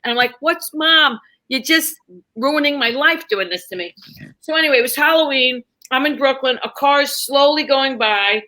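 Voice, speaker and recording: Bright, high-voiced New woman telling stories. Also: moderate pace, low-quality recording. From New York, United States. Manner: candid, emphatic, acts out dialogue. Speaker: comedian guest. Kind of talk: animated conversational storytelling.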